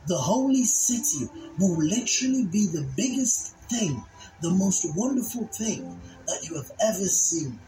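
Preacher for a scripture dramatization: slow, solemn, emphatic delivery, echoey sound over music. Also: medium pitch, big pitch swings, dark tone. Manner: solemn, emphatic, deliberate phrasing. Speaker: Bible teacher and narrator. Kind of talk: dramatized scripture reading and sermon.

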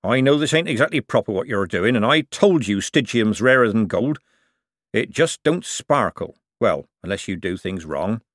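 A man doing a gruff, sly old forger. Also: lowish voice, animated, moderate pace, fairly bright tone, no echo, clean studio sound. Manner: growling, coarse working-class speech.

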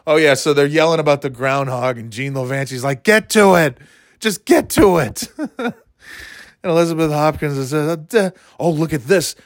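A man's voice: mid-range voice, no echo. Rambling middle-aged man, dry close mic. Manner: meandering, self-interrupting, playfully excitable. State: slightly stuffy nose. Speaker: podcast host. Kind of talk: rambling solo chat.

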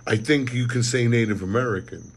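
A man's voice: mid-range voice, brisk, creaky, slightly rough. A man co-hosting a casual podcast, rambling with many fillers and trailing off. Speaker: podcast co-host. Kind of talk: casual chat.

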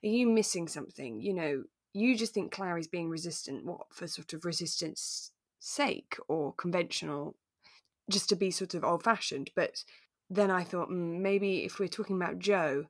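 A woman co-hosting a podcast in a relaxed, conversational discussion style. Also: lowish voice, normal speed, dry recording, clean studio sound. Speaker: podcast co-host. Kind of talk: relaxed casual discussion of a radio drama.

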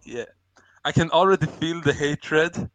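A man's voice: fairly high pitch, measured pace, a bit bright, no echo, poor audio. Self-mocking young man telling rambling stories. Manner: rambling storyteller, self-mocking, laughs often. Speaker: podcast co-host. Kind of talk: casual podcast chat.

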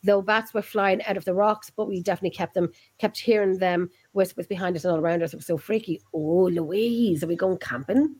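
A woman's voice: on the low side, brisk, hardly any pauses, a little mellow, husky. Chatty Irish woman podcast host. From Ireland. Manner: chatty, lilting, repeats phrases. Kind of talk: casual chat.

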